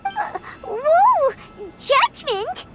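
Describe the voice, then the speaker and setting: A woman's voice: very animated, drawn-out words, heavily acted. A woman voicing a small, feisty, childlike fairy companion with cutesy, cheeky delivery in an anime video game. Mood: alarmed. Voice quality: squeaky, childlike. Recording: clear music behind the voice.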